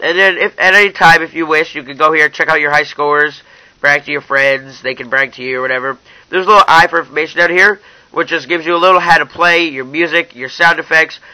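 A young man reviews an app in a casual, informal style. His voice sits a little high for a man and is very bright and forward. He addresses his viewers directly as he demonstrates. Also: no echo, low-quality recording.

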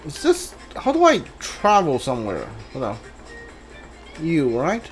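A video game player giving casual live playthrough commentary, thinking aloud in a halting way with mumbled asides. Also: mid-range voice, wildly swooping pitch. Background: video game music.

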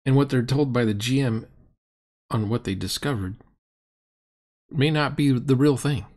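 A man's voice: mid-range voice, unhurried, darkish tone. A middle-aged man giving casual, sardonic and critical commentary on a roleplaying game.

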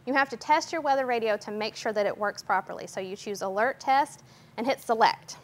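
A young woman giving an orderly, step-by-step instructional demonstration in a clear explaining manner. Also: on the high side, a little fast. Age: young adult. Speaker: guest demonstrator. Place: TV station weather office, indoors. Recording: noticeable background noise.

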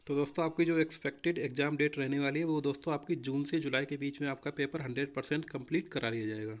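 A man's voice: medium pitch, fairly even intonation, fast. A young man explaining exam preparation informally as a coaching teacher, often addressing listeners as friends.

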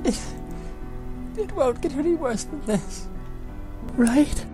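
A woman's voice: high voice, expressive, slow pace. A woman playing a naive, trusting, anxious young skeleton brother in a comic dub, pleading and stammering earnestly. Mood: tearful pleading. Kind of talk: comic dub dramatic acting. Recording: music behind the voice.

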